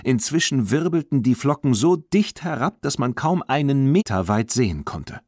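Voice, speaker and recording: A man narrating a children's audiobook in an expressive, dramatic storytelling manner. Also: mid-range voice, moderate pace, dry recording, studio quality.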